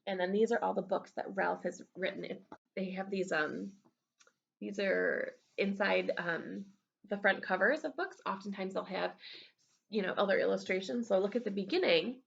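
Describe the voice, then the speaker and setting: Lady first grade teacher chatting to her pupils, encouraging, creaky voice, kinda dull lo-fi recording. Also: medium pitch, moderate pace. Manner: conversational, encouraging. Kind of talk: read-aloud lesson with asides to pupils.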